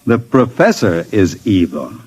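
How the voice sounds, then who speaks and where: An actor playing a suave, scheming criminal, mock-polite and insinuating, in an old-time radio drama. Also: male voice, on the low side, sing-song, measured pace, a little mellow, slight background noise. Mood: sinister. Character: suave, scheming male criminal, coolly sinister manipulator.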